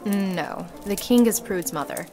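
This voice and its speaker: A woman's voice: medium pitch, lively intonation, a little slow, music in the background. A woman playing a dry, guarded young necromancer in a visual novel, speaking matter-of-factly. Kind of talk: visual novel character acting.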